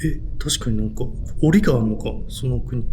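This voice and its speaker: A man's voice: mid-range voice, a little fast, a little mellow, slight background noise. A man co-hosting a spooky exploration, chatting casually and reacting in a jumpy, easily scared way. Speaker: co-host of a haunted-spot exploration video.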